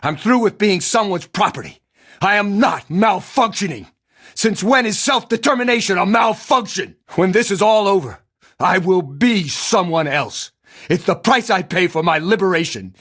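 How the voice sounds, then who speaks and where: A man's voice: high-pitched, lively intonation, normal speed, a bit bright. A man playing an earnest runaway android, solemn and defiant, in a video game audio log. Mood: defiant, afraid.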